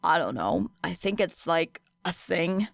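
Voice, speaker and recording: Woman doing grumpy teenage boy student, sardonic, deadpan, low voice, dry sound, audiobook dialogue. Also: a little slow, creaky, no room sound, full character voice. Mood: defensive. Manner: deadpan, grumbling.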